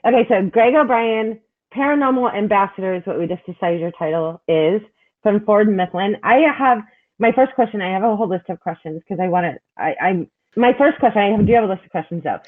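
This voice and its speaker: A woman interviews a guest in a loose, excited chat, often restarting her questions. Her voice sits mid-range. It comes through a narrow, phone-like call line. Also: medium pitch, a little fast. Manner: chatty, enthusiastic, restarts questions. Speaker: podcast host interviewing a guest.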